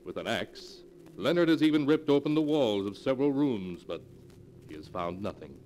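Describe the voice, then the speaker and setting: A man's voice: mid-range voice, lively intonation, moderate pace, a little mellow, close to an everyday voice. A man acting as an ominous storyteller, narrating 1940s radio horror in a dramatic, portentous manner. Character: ominous storyteller reading from a sealed book. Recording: noisy 1940s radio recording.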